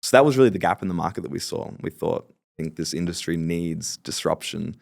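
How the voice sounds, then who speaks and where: A man's voice: sits low, expressive, brisk, vocal fry, darkish tone, no room sound, studio quality. A man in his late twenties, a podcast guest talking confidently and agreeably about his business. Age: 20s. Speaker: podcast guest, fulfillment company founder. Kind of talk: casual interview talk.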